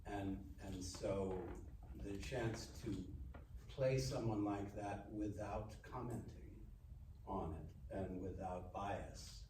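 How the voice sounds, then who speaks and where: An older man, an actor, giving reflective panel remarks in a halting, measured way. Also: on the low side, fairly even intonation. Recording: very echoey room, distant mic, noisy.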